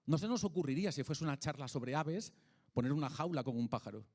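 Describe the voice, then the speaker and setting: A man with a Peninsular Spanish accent giving an informal public lecture in a conversational, halting way, often checking in with short tag questions. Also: fairly high pitch, lively intonation, darkish tone. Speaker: speaker, philosopher of science and author.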